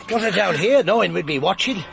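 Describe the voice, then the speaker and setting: Radio drama detective: middle-aged, English, gravelly, wry copper with widely swinging delivery. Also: male voice, high-pitched, animated, brisk, exaggerated voice. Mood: grim, businesslike. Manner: dry, clipped, colloquial copper's talk. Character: gruff middle-aged male police detective inspector, wry and world-weary. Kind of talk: BBC radio drama acting.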